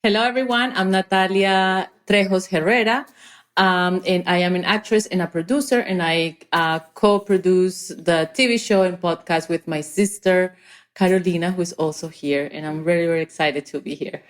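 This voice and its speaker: A woman's voice: fairly low pitch, moderate pace. A woman from South America speaking conversationally as an interview guest. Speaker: podcast guest, actress and producer.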